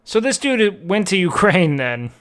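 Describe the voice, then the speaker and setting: A man's voice: high-pitched, very animated, unhurried, fairly bright tone. A man streaming live, reacting to videos with blunt, profane asides.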